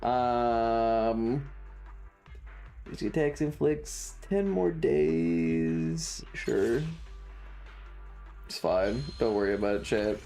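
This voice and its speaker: A streamer with a deadpan, sarcastic manner, giving casual gaming commentary and swearing, close to the microphone. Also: mid-range voice, drawn-out words, pauses often. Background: loud game music.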